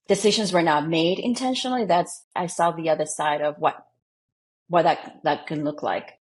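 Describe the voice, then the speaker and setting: A woman guest from the Dominican Republic with a husky, breathy, lowish voice. She talks about her life in a measured, reflective way, choosing her words with care. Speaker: guest, financial planner. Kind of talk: interview storytelling.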